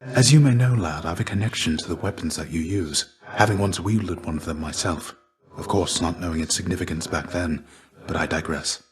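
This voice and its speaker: An actor playing the ghost of a fallen Greek warrior in a video game, a gentle, fatherly mentor with measured, formal, old-fashioned phrasing. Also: sits low, lightly acted. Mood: solemn. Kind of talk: video game character dialogue.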